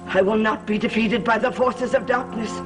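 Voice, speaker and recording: A woman's voice: on the high side, fairly even intonation, music in the background, close to an everyday voice. An actress playing a fierce, devoted female servant in a gothic soap opera, solemn and declamatory in manner. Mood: grimly resolute. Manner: slow, solemn, declamatory delivery.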